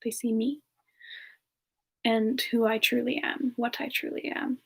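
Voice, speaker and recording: A woman playing a bashful, soft-spoken siren ice spirit, telling her story slowly and hesitantly in a semi-ASMR roleplay. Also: fairly high pitch, level tone, heavily acted. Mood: wistful, measured. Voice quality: soft, breathy, slightly creaky. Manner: slow, hesitant, reminiscing with pauses.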